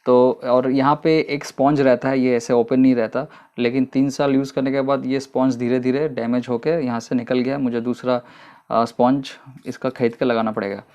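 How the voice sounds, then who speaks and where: A man giving a casual, unhurried product review in a vlog, explaining step by step with frequent fillers. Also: medium pitch, flat delivery, a little mellow, highs cut off.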